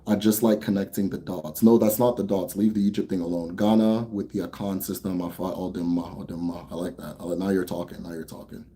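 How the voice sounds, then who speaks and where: A young American man hosting a casual Q&A for viewers. He talks bluntly, swears freely, rambles a bit, then slows down to explain. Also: deep voice, fairly even intonation, warm tone. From United States. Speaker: host answering viewer questions. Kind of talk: casual livestream Q&A.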